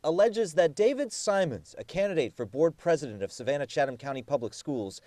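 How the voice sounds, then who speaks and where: Male tv news reporter, kinda high voice, really animated and emphatic, dry sound, scripted report read. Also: a little fast, dry recording.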